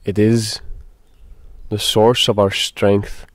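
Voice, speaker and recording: A man leading a guided meditation, speaking in a deliberate, halting way with pauses. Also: lowish voice, little pitch movement, no room sound.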